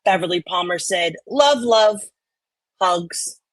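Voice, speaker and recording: A woman co-hosting casually, chatting and reading online comments aloud in a loud, theatrical, emphatic manner. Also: lowish voice, animated, takes it slowly, dry recording. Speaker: co-host.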